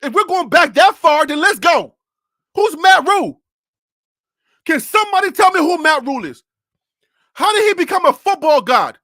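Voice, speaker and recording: A sports show host from North Carolina on a live stream, firing off incredulous rhetorical questions and laughing between points. The voice is high and bright. The sound is close and dry, with no room around it. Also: very animated, normal speed, long pauses, no echo.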